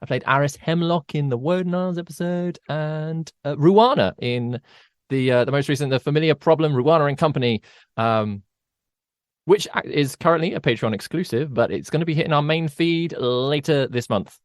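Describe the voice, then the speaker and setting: A man's voice: on the high side, moderate pace, smooth, no echo, studio quality. A man chatting casually as a podcast co-host and game master.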